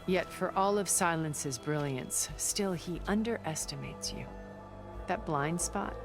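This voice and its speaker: A middle-aged woman of a far-future elite, with a low voice and measured, theatrical phrasing. She is worldly and articulate, a regretful schemer in a game cutscene, with music clearly playing behind her. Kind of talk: dramatic video game cutscene acting.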